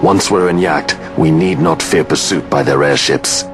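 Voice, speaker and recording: A grave veteran knight with a very deep voice. He speaks in a measured, steady way while a film score plays. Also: over music. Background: orchestral score.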